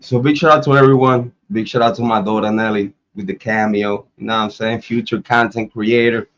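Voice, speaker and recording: A man's voice: lowish voice, steady tone, a little fast, a bit bright, lo-fi sound. A man hosting a casual livestream. He talks loosely, repeats himself and laughs often. His voice is still recovering from an illness. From Ohio, US. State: recovering from illness, voice coming back. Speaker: YouTube true crime host.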